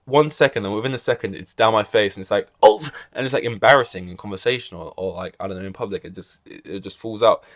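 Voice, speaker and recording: Young guy rambling on his podcast, lowish voice, throat a bit scratchy, dead dry sound. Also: dry recording. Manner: rambling, self-correcting, wry asides. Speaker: podcast host. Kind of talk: casual solo chat.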